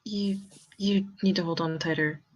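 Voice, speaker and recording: A woman playing a proud, guarded teenage boy with a terse, deadpan manner in an amateur audio drama. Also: lowish voice, measured pace, muffled recording. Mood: flustered.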